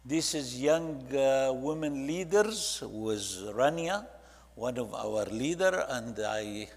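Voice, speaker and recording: Egyptian guy giving a church slideshow talk, kinda slow and halting english, big echoey hall. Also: mid-range voice, animated, creaky. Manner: halting, with short phrases and non-native English grammar. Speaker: church ministry leader presenting to a congregation. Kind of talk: presentation talk narrating photo slides.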